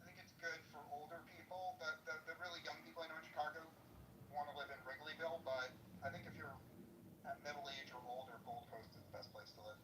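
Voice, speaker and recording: A man's voice: on the high side, fairly even intonation, fast, echoey room, distant mic, noisy background. A man interviewing a guest, polite and hedging, who often repeats his words before a question.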